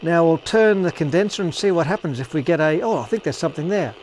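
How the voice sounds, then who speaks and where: A man's voice: fairly high pitch, expressive, fast, a little mellow, a little hiss or hum, rough recording. A man giving a casual workshop demonstration, thinking aloud with some hesitation. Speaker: hobbyist radio repairer and presenter.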